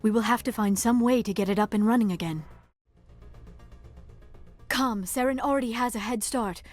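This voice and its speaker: A woman's voice: fairly high pitch, normal speed, a little mellow, faint music behind, close to an everyday voice. A woman playing an earnest, scholarly squad member in a sci-fi game, with formal, precise phrasing.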